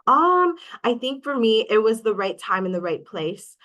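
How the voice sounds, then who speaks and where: A woman's voice: fairly high pitch, big pitch swings, normal speed, fairly bright tone, highs cut off. A woman from Hawaii talking as a guest in a casual interview, speaking openly and candidly with many "um"s. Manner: open, candid, with many fillers. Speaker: guest, coaching client.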